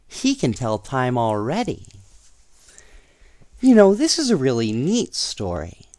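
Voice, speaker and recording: A man reading children's stories aloud in an expressive, playful way, with casual asides to young listeners. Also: fairly low pitch, no echo, clean studio sound. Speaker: storyteller.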